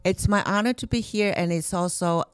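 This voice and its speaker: A woman's voice: mid-range voice, a little fast, clean tone, no room sound. A woman giving a conference presentation as a marketing director, chatty with many fillers and restarts. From Taiwan. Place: conference room.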